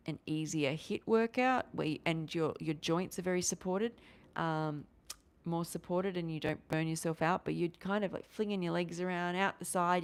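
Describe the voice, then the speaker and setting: A woman chats on a livestream, answering viewers. Her voice sits on the low side. She rambles and restarts her sentences, and she talks with her hands. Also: clean tone. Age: 40s. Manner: rambling, animated, restarts often, gestures with hands. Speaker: livestream host.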